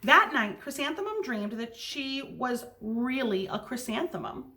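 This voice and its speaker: A woman's voice: high voice, very animated, measured pace, pushed and bright, slight background noise. A woman teacher reading a story aloud to young children, with simple, instructive asides.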